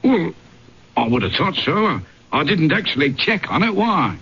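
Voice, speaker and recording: An actor playing a deferential, talkative household servant who explains himself hesitantly, in old-time radio drama style. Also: male voice, on the high side, sing-song, measured pace, sharp tone, full character voice. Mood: flustered, explaining. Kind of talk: period radio drama acting. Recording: dull old radio recording, faint noise.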